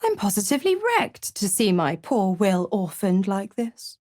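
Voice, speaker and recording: A woman's voice: medium pitch, sing-song, a little slow, a little mellow, no room sound, clean studio sound. An actress playing a seductive, scheming female devil in a video game, with a teasing, sardonic, theatrical and condescending drawl. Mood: sultry teasing. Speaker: video game character actor.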